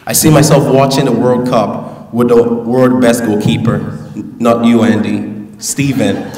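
A man's voice: medium pitch, flat delivery, a little slow, a little mellow. A young man delivering a graduation speech from a podium, with playful asides to the audience. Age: young adult. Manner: playful, joking asides to the crowd. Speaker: valedictorian. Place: large school hall at a podium. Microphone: podium mic, heard from a distance. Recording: echoey hall, distant mic.

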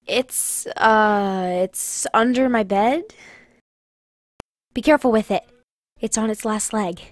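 A woman's voice: mid-range voice, slow, no room sound, studio quality, lightly acted. A woman playing a blunt but caring grandmother in recovery, speaking earnestly in an audio drama. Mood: easygoing. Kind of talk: podcast audio drama acting.

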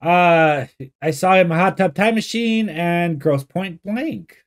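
A man's voice: sits high, slow pace, background noise. A man in his forties, a little throaty while getting over an illness, chatting and exclaiming as he reacts to a movie. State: slightly throaty, recovering from illness. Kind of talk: casual movie reaction commentary. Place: small home room.

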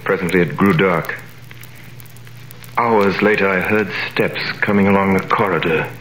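A young sailor wrongly jailed. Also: male voice, low voice, a little slow. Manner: narrates in first person, then shouts in panic. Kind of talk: 1930s radio drama acting. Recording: echoey, noisy 1930s radio recording.

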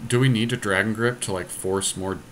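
A man's voice: lowish voice, fairly even intonation, a little fast. A man co-hosting a podcast, giving casual commentary on a game as he plays. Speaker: podcast co-host. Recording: clearly noisy home recording.

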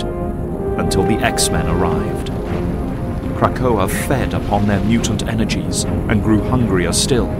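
A man narrating a superhero audio drama in a grave, measured, scripted storytelling style. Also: fairly low pitch.

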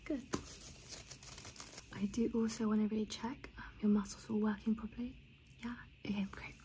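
A woman speaking in a whispery, breathy soft voice in an ASMR exam roleplay, gentle and reassuring, often repeating short phrases. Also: medium pitch, little pitch movement, moderate pace, slight background noise. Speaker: ASMR roleplay creator playing an examiner.

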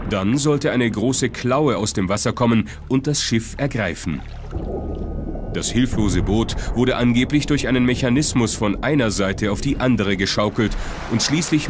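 A man's voice: on the low side, noisy background. A man reading scripted documentary narration as the narrator. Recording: music under the voice. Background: prominent music.

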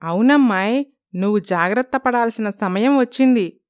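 A woman's voice: on the high side, normal speed, lightly acted. A woman voicing a shrewd, protective old grandmother who talks like a knowing elder in a cartoon story. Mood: advising. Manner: knowing, colloquial elder's talk.

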